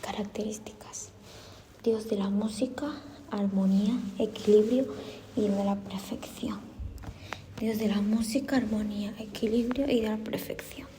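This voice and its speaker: A woman reads out study notes in a hushed, often whispered voice, repeating each item and spelling tricky words. Her delivery is slow and unhurried, with a mellow, dark tone. A faint hiss of background noise sits under the recording. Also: mid-range voice, fairly even intonation, low-quality recording. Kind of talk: dictating study notes aloud.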